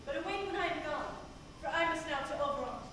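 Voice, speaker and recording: A woman playing a sly, mischievous sprite servant, speaking verse in a hushed, measured way on a stage, recorded from far off in an echoey hall. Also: very high voice, animated, noisy background. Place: theatre stage.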